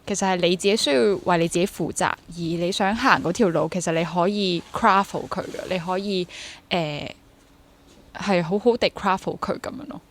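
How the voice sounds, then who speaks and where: A woman's voice: fairly low pitch, normal speed. A woman in her twenties with a Hong Kong Cantonese accent, talking casually and reflectively as an interview guest and mixing in English words. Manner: mixes English words into speech. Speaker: interview guest, stage actor and drama teacher.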